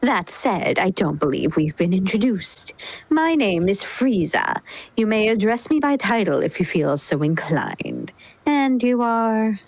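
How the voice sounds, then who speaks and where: A woman's voice: medium pitch, sing-song, unhurried, rough recording. A woman playing a haughty, coolly menacing male alien overlord with a formal, sardonic drawl. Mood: dry, haughty.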